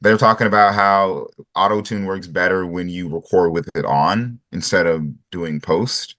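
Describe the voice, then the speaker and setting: Man, very deep voice, chatty, rambling, student on a video call. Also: moderate pace, pushed and bright. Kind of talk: casual chat on a video call.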